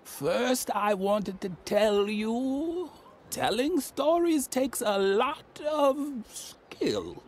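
A man's voice: very high-pitched, animated, very slow, slight background noise, heavily acted. An actor voicing an ancient, muddled old man in a game who rambles boastful tall tales. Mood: rambling. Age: older.

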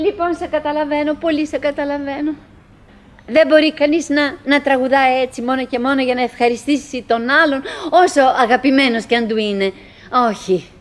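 An actress plays a middle-aged woman in a radio play, with a fairly high voice. She chatters on to her husband with dogged cheer and an undertow of wistfulness. Her delivery is theatrical and halting, circling back over her own phrases. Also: close to an everyday voice. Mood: pleading, insistent. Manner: theatrical, halting, musing with repetitions.

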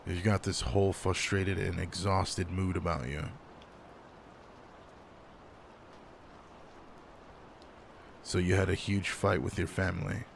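A man playing a gruff alpha male werewolf, guarded but caring, in an intimate roleplay audio drama, speaking in a slow, teasing, commanding way. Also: sits low, slight background noise. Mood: probing, concerned.

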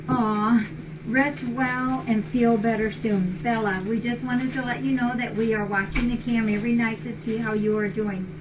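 An older woman with a fairly high voice talks in a chatty, appreciative way, switching between casual remarks and reading aloud. She is some distance from the webcam's microphone. The sound is dull and lo-fi, with clear noise behind her. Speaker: cat rescue shelter host. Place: large indoor cat shelter room. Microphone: distant fixed webcam. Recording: muffled, noisy webcam audio from far off.

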